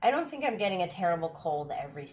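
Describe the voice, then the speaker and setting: A woman's voice: lowish voice, sing-song, fast talker. Chatty throaty woman host. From United States. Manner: chatty, laughs often, many restarts. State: throaty from allergies. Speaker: live stream podcast host. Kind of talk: casual chat. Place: at home abroad, live stream.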